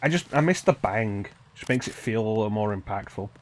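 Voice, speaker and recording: A man's voice: lowish voice, expressive, fairly quick, a little hiss or hum. A man streaming a video game with casual live commentary, talking in rambling, conversational asides.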